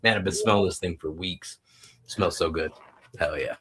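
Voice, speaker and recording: A man's voice: low-pitched, normal speed, a crowd in the background. A man co-hosting a podcast, chatting casually in a laid-back way. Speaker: podcast co-host. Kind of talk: casual chat.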